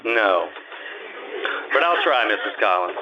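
A man's voice: on the low side, big pitch swings, measured pace, lo-fi sound, over loud music. A man playing a terse, world-weary private eye. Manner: terse, laconic, skeptical. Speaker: detective narrator. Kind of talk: old-time radio drama acting.

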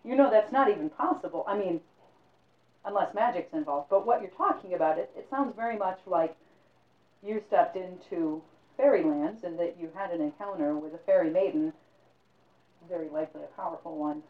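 A woman voicing an excitable old male librarian who questions anxiously and insists. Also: on the low side, moderate pace, lightly acted. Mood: alarmed. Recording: tinny phone-like sound, roomy echo.

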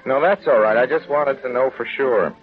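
A man's voice: mid-range voice, moderate pace, faint music behind, natural voice. An actor playing a plainspoken, earnest small-town man in 1930s radio acting style, on a tinny, noisy broadcast. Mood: hurt.

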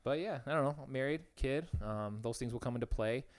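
A man's voice: mid-range voice, brisk. A man in his thirties from Illinois, co-hosting a casual podcast in a laid-back, joking way, with a slightly nasal tone. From Illinois, USA. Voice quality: put-on nasal tone. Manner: laid-back, joking, laughs often, self-mocking. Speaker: podcast co-host. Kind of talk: casual chat.

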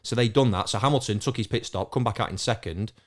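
A man with a slightly low voice chats casually as a podcast panellist. He explains things in a matter-of-fact way, as if among friends. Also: fast.

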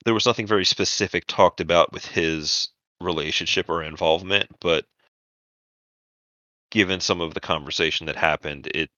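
A man narrating a tabletop roleplaying session as game master, in a halting, informal storytelling manner. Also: deep voice, bright, forceful tone, no echo, lo-fi sound.

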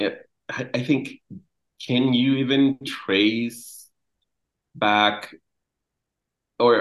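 A young man from Mexico talking casually as a podcast guest, halting and repeating words, trailing off quietly. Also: mid-range voice. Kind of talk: casual conversation.

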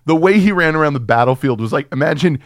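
A man's voice: fairly high pitch, lively intonation, fast, no echo, clean studio sound. A man co-hosting a film podcast, chatting casually and comedically in a profane, jokey, rambling way.

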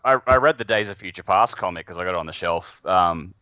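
A man with a fairly low voice and a bright, forward tone chats informally. He talks haltingly, in loose conversational bursts. The sound is very dry. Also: clear, resonant tone, no echo, lo-fi sound. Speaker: podcast guest and amateur voice actor. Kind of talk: casual chat.

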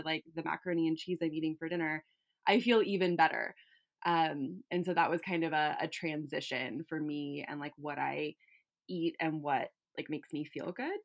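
A young woman from California with a slightly low voice, chatting easily. She talks in loose runs full of fillers and little laughs. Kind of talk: casual chat.

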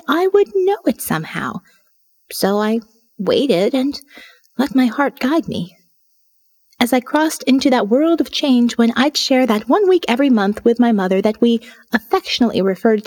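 A woman performs a restless, sardonic young woman confiding in her diary for an audio novel. Her voice sits a little high and has a husky, breathy edge. She is wry and defiant, and she uses dramatic pauses as she confesses. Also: a little mellow. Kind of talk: dramatic first-person audio fiction narration.

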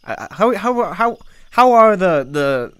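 A man's voice: high-pitched, big pitch swings, slow, a bit bright, clean tone, no room sound, exaggerated voice. A man playing a paranoid, petulant crowned ruler in a comic roleplay, stammering and repeating himself as he gives orders. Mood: flustered, bossy. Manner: stammering, bossy, repeats words when flustered. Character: petulant, paranoid male crowned ruler Bobby, bossy and flustered.

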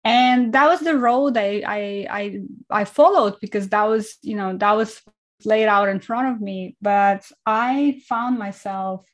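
A woman's voice: medium pitch, measured pace. A woman in her thirties, from Kazakhstan and raised in Estonia, speaking reflectively as a podcast guest over a video call. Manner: reflective, conversational, with frequent fillers. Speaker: podcast guest, travel photographer.

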